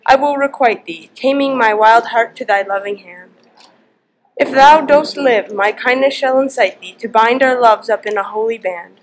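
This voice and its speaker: A teenage girl playing a proud young woman softening into love, speaking a Shakespearean soliloquy in a student performance. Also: a little high, moderate pace, natural voice, poor audio. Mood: tender resolve. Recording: noticeable background ambient noise.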